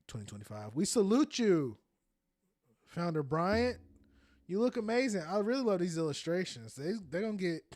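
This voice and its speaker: A man's voice: on the high side, no room sound. Guy in his 30s hosting a podcast, laid-back chatty, laughs a lot, dry close sound. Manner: chatty and animated, laughs often. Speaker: podcast host. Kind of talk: casual commentary while browsing and reading aloud.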